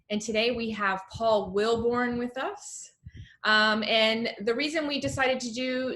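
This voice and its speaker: A woman's voice: on the high side, fairly bright tone, slight background noise. Woman hosting a casual interview. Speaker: host, interviewer.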